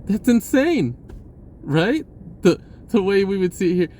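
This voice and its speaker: A man's voice: sits high, very animated, a little slow, dark tone, a little hiss or hum. A man talking casually about spirituality in a rambling way, repeating words and swearing offhand. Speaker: spiritual vlogger.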